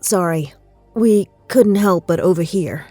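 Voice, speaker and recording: An actress playing a calm, conciliatory FBI agent with a measured manner in an audio drama. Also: female voice, lowish voice, no echo, soft background music. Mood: composed. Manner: measured, reassuring.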